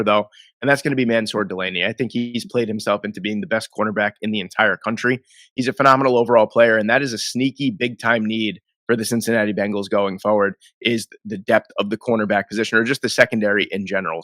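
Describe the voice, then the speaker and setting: A man's voice: lowish voice, a bit bright, no echo. A man, nasal and sniffly from a cold, rambling through casual football draft commentary as the host. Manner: rambling, self-correcting, conversational.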